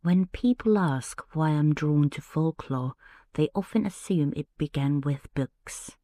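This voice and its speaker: Finnish-accented woman host, low and unhurried, hushed bedtime podcast read. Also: no echo. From Finland. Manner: slow, hushed, gentle delivery. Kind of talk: soft-spoken bedtime storytelling.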